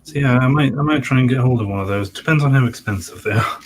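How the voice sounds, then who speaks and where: A man's voice: mid-range voice, fast, a crowd in the background. A man from North East England chatting casually as a panellist on a live stream. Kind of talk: casual chat.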